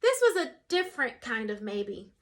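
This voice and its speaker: A woman's voice: a little high, normal speed. A young adult woman reading a children's picture book aloud, expressively changing her voice for the characters. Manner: expressive, shifts voice for quoted characters.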